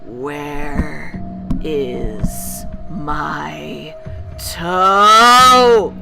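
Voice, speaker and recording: Slow groaning ghoul, deep and menacing. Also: female voice, gravelly, music in the background, heavily acted. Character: groaning undead voice hunting its stolen toe, menacing.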